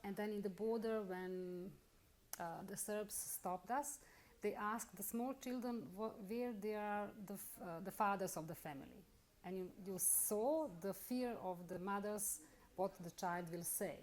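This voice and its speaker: A middle-aged woman with a Bosnian accent, carefully telling her own story in halting English during an interview. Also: medium pitch, darkish tone. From Bosnia and Herzegovina. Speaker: interviewee, lawyer. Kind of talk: personal account in an interview.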